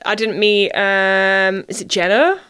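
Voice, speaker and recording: A woman, an actress being interviewed, chatting casually in an informal, expressive and giggly manner. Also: mid-range voice, slow pace, smooth.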